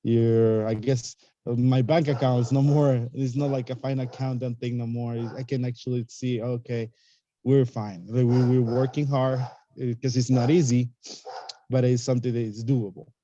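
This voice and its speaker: Very mellow man, searching for words. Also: medium pitch, flat delivery, moderate pace, muffled recording. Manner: searching for words, many fillers. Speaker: real estate investor guest. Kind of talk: casual reflective talk on a video call.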